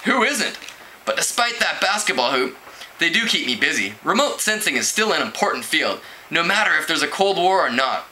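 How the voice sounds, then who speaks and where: A man's voice: on the high side, big pitch swings, moderate pace, pushed and bright, heavily acted. A man reading a storybook aloud, voicing an open, friendly young office tech worker in a casual, easygoing manner. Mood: friendly, frank. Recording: clearly noisy home recording.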